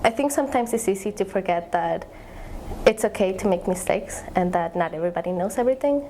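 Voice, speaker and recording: A woman's voice: mid-range voice, expressive, fairly quick, darkish tone, slight background noise, low-quality recording. A young woman, a graduate student explaining her research in an interview, thoughtful and searching for words. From Ecuador. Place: eye tracking lab.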